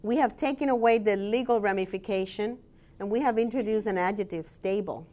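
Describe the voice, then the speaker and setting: Woman academic presenting her research; steady, explanatory delivery, pauses on "um.". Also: medium pitch. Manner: measured and explanatory, with frequent "um" pauses. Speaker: scholar and researcher giving a presentation. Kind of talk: presentation talk with slides.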